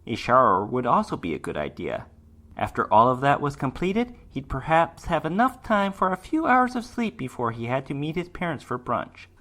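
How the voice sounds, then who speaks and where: A man's voice: medium pitch, very animated, normal speed, bright, forceful tone. A man reading a short story aloud as dramatic scripted narration, in the role of storyteller.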